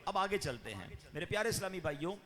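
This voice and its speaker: A fervent male preacher with a fairly high, bright, forceful voice that climbs to raised peaks and slows into drawn-out chanting. His sermon rings with a long reverb, as if spoken in a large hall. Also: sing-song.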